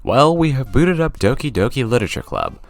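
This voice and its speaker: Young man narrating with lively, swinging intonation. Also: medium pitch, a little fast, gravelly, background noise. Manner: jokey, self-aware asides. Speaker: video game reviewer. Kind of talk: scripted video essay narration. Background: background music.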